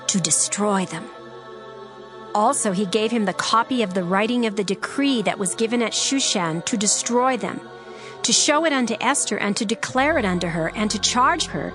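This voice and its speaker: A woman narrating a dramatized scripture reading in a measured, solemn way. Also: mid-range voice.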